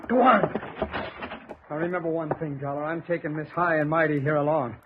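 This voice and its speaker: An actor playing a desperate, villainous nephew in a 1950s radio drama, tense and insistent. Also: male voice, on the high side, a little fast, very noisy, lightly acted. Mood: menacing. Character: desperate, villainous nephew, nervous and menacing man. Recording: muffled old radio recording with music.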